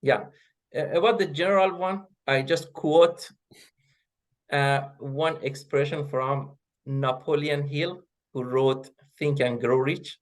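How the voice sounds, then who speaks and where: A middle-aged man whose first language is Persian speaks in English as an interview guest. He answers in measured steps, stopping often on fillers and starting words over before finishing a thought. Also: fairly high pitch, fairly even intonation. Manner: hesitant, many fillers and restarts. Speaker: guest, associate professor of linguistics.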